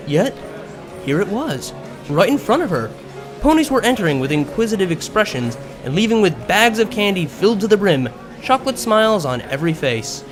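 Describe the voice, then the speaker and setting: A man reading scripted fiction as an expressive narrator, audiobook style. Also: high-pitched. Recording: music behind the voice.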